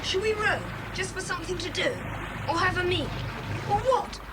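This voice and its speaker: A woman playing an excitable, plucky schoolboy adventurer with a British accent in a children's audio drama. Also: very high-pitched, normal speed. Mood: restless. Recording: very noisy 1980s cassette, boat ambience.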